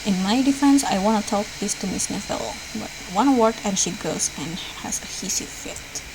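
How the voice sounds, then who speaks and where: A woman's voice: mid-range voice, expressive, moderate pace, gravelly, noisy background. A woman reading visual novel dialogue aloud as a let's player, lightly acting out the lines. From Indonesia. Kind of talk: read-aloud game dialogue, acted lightly.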